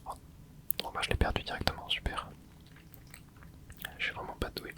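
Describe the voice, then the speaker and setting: A man whispering casual commentary as he plays a video game for an ASMR channel, dropping small interjections and explaining the game to his viewers. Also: moderate pace. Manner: soft whispering with little interjections while playing. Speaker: ASMR gaming channel host.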